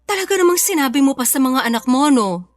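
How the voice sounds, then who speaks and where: Higher-voiced, fondly scolding mother. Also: animated, fast. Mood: teasing, mock-scolding. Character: loving, mock-scolding mother of two sons. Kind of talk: Filipino family radio drama acting. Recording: faint music bed under voice.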